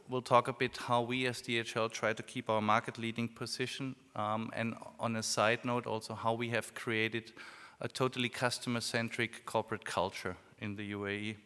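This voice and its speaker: A man with a German accent giving a business presentation at a conference, speaking in a measured way with fillers. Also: medium pitch, bright, forceful tone, clean tone. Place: conference hall. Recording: reverberant hall, PA.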